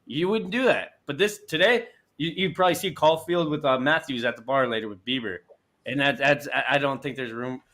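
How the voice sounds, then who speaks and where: A man's voice: mid-range voice, fairly quick, fairly bright tone. A young Canadian man hosting a casual sports chat show, talking in loose rambling restarts with easy laughs.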